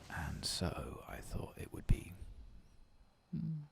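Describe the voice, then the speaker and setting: A man with a hoarse, coarse voice, talking softly and half-whispering as he plays a game in a relaxed, rambling way. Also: deep voice, slow. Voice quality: coarse, gravelly voice. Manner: soft, half-whispered, rambling and self-deprecating. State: hoarse after a long work shift. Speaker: gaming ASMR host. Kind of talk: soft-spoken ASMR gameplay commentary.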